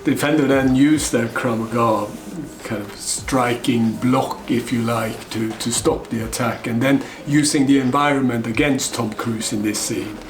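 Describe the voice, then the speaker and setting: A middle-aged man from Norway, a Krav Maga instructor, commenting on fight scenes in an articulate, measured way with fillers. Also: medium pitch, fairly even intonation, over music. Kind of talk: reacting to film fight scenes.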